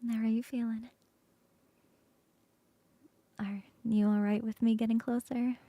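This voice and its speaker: A woman voicing a flirty female coworker in an ASMR roleplay, close to the mic in a soft, playful whisper. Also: a little high, little pitch movement, a little fast, dry recording, close to an everyday voice. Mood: teasing whisper.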